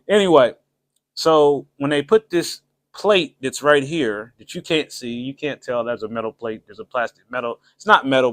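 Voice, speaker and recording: A man's voice: a little high, dry recording. Chatty man rambling to his viewers. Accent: Ohio, American. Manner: chatty, rambling, jokey with frequent laughs; shout-outs to viewers, loose colloquial speech. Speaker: channel host. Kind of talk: casual livestream monologue. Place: home setup with cameras.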